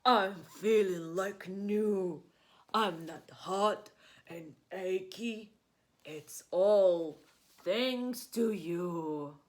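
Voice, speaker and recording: A woman playing a big, friendly male bear from a children's storybook, grateful and exuberant, with emphatic, drawn-out delivery. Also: mid-range voice. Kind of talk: children's storybook read-aloud.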